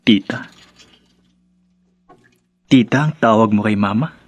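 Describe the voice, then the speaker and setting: An actor playing a devoted, defensive young Filipino husband in a radio drama, earnest and emotional. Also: male voice, fairly low pitch, steady tone, slow, no echo. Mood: hurt, questioning.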